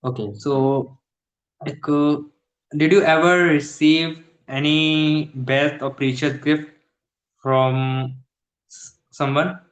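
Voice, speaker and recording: A young man from India with an Indian accent, a Hindi speaker practicing English in casual conversation, halting and full of fillers as he searches for words. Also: fairly high pitch, steady tone, echoey room, rough recording. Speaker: English learner. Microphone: video call.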